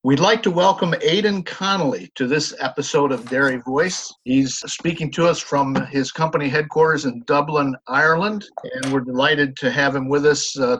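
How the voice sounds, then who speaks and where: A man hosting a podcast interview, asking questions in a measured, courteous way with occasional fillers. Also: medium pitch, a bit bright, slightly rough, low-quality recording. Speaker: podcast host.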